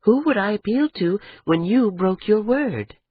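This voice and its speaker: A man voicing Ida'an, an anguished young noblewoman who is sharp and bitter, speaking in a high, strained voice. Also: lively intonation, measured pace, breathy edge, heavily acted. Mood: accusing. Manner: high strained pleading, cutting sarcasm. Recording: dull lo-fi recording.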